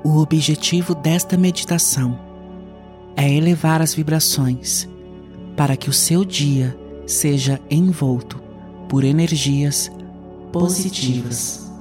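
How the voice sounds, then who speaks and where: Guy doing guided meditation, super slow and soothing, music playing behind him. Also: fairly high pitch. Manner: soothing, unhurried, with long pauses.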